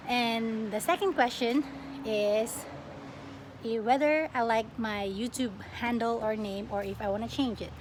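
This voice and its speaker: A young woman from the Philippines chatting casually as a plant vlogger, expressive and often breaking off mid-sentence. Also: on the high side, measured pace. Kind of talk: casual get-to-know-me question-and-answer chat. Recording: clearly noisy home recording.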